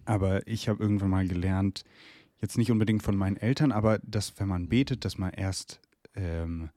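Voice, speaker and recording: A man's voice: low voice, moderate pace, dark tone, dry recording, clean studio sound. A man with a cold co-hosting a casual theological podcast from a room in his parents' home, steadily guiding the talk. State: has a cold. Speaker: podcast co-host.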